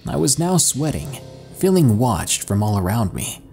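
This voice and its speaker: A man reading first-person horror stories in a slow, hushed, dramatic storytelling manner. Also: mid-range voice, very animated, vocal fry. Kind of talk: scripted horror narration. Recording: faint music underneath. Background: soft background music.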